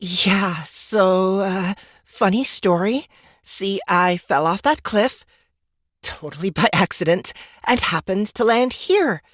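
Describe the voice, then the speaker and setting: A woman's voice: medium pitch, no echo, clean studio sound. A woman playing a frightened, wisecracking heroine in a fantasy audiobook, nervously rambling to talk her way out of danger. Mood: nervous, placating. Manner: nervous, rambling, halting, sarcastic. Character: frightened, wisecracking woman babbling to placate a monster. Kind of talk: dramatized fantasy audiobook acting.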